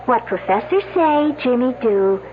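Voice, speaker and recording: An actress playing a sharp-tongued, wounded young woman student in a radio drama, pointed and sarcastic. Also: on the high side, animated, unhurried. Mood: bitter, cutting. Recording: tinny, noisy old radio recording.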